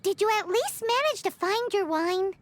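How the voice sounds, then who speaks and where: A woman's voice: very high voice, lively intonation, moderate pace, no echo. A woman voicing a tiny, chirpy, curious female fairy companion in a game, who refers to herself in the third person. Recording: game audio with loud music.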